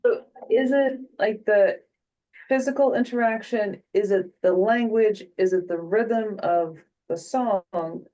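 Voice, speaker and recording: Woman, mellow tone, meeting attendee asking a probing question. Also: medium pitch, slow pace, dull audio.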